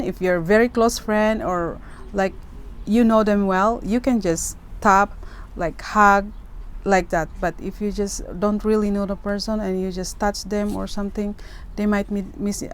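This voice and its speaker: A woman's voice: mid-range voice, steady tone, unhurried. Earnest Filipino-accented woman giving advice. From Philippines. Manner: earnest, repeats herself, keeps asking "okay?". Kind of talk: casual advice talk to camera.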